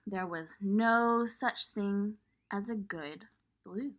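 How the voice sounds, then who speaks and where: A woman reading a picture book aloud to young children, expressive and pausing to point things out. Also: medium pitch. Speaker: children's storybook reader.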